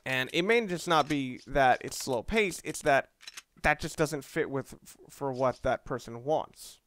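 A man's voice: mid-range voice, a little slow, fairly bright tone, a little hiss or hum. A man giving casual game commentary, rambling and thinking aloud as he plays. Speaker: let's player.

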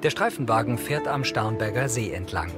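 Male audio description narrator, matter-of-fact scripted delivery, mid-range voice, loud music bed. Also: mid-range voice, lively intonation, normal speed.

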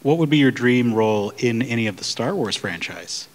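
A man moderating a convention panel, asking quick, chatty questions. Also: mid-range voice, lively intonation. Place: large convention hall panel room. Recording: roomy hall reverb, off-mic.

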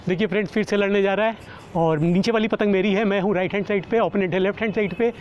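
A middle-aged man speaks in a notably high voice, explaining things casually as a vlogger would. He addresses his viewers as friends. He is recorded outdoors on a lapel mic, with faint urban and traffic noise behind him. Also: steady tone, racing. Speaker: kite flyer presenting his vlog. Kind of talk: casual vlog commentary. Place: outdoors on an open rooftop. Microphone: clip-on lapel microphone. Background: faint outdoor city and vehicle noise.

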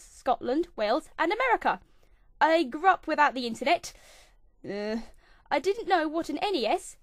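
Bouncy high-voiced young English woman. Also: no room sound, studio quality. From England. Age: 20s. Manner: chirpy, bouncy delivery with sudden dips into a low mutter. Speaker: channel host. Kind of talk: scripted fifty-facts vlog.

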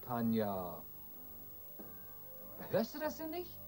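A man's voice: medium pitch, huge pitch swings, slow, darkish tone. Radio thriller role: jealous, uneasy young man, probing and insistent, hugely swinging intonation, light music underneath. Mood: alarmed. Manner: questioning, probing. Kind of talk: German radio crime drama acting.

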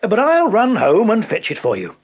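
A crafty peasant farmer, put on by a man reading a fable aloud. He gushes over-polite flattery in a raised, lively voice with a husky grain. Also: high-pitched, moderate pace, pitched above the performer's own voice, full character voice.

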